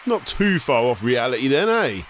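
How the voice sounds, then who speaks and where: A man's voice: medium pitch, sing-song, a little slow, a little hiss or hum. Man narrating with dry sarcasm. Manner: wry, sarcastic asides. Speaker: host. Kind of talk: scripted narration.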